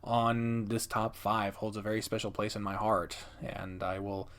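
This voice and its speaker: A man's voice: on the low side, flat delivery, a little slow, a bit bright. A man hosting a casual, enthusiastic countdown video, speaking emphatically and dramatically with playful asides and some swearing. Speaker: host of a game video channel. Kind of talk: casual, enthusiastic top-ten countdown commentary.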